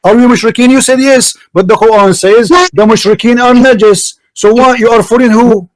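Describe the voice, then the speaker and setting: Male debater, high voice, insistent and accusing, English, dry mic. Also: a little fast, no echo, low-quality recording. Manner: insistent, repeats questions, accusing. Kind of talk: heated live debate.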